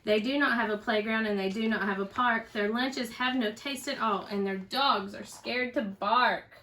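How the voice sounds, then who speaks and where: A woman who teaches first grade, reading aloud to young children in an expressive, animated storybook manner. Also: fairly high pitch, moderate pace, far from the mic.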